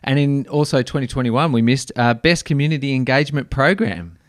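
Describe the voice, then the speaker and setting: A man hosting a podcast interview, talking warmly with frequent ums and restarts. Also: medium pitch, fairly quick, no echo, clean studio sound. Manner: warm, hesitant with many ums and restarts. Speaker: podcast host.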